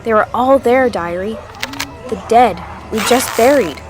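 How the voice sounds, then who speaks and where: A woman's voice: fairly high pitch, a little slow, close to an everyday voice. An actress playing an earnest teenage girl in a zombie audio drama, confiding and reflective as she narrates her diary. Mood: tense, urgent. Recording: music under the voice. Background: music.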